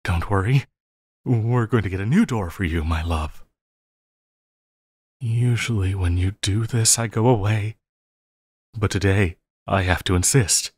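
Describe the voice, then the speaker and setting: A man playing a lovesick, possessive supervillain in an intimate audio roleplay, pleading haltingly and using tender endearments. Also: on the low side, a little mellow, husky, no room sound. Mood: tender, eager. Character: lovesick, possessive yandere supervillain pleading with his beloved hero.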